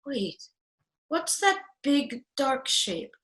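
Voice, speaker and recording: A young fairy tale girl, acted by a woman, sweet but no-nonsense. Her voice is high and bright, in a roomy space, as performed for a comedy radio play. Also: very animated, drawn-out words, heavily acted. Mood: nervous. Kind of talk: comic radio play acting.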